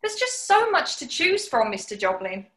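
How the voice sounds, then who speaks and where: A naive, eager English maid, played by a woman, has a high, bright voice that leaps about in pitch. She is plucky and wide-eyed, acted as 1940s radio comedy in an echoing room. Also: big pitch swings, moderate pace. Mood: wide-eyed, wondering.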